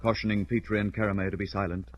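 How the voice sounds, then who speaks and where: A man's voice: lowish voice, flat delivery, fast talker. A man narrating a scripted recap as a 1940s radio announcer, in a formal, dramatic manner. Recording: dull old radio recording. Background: music.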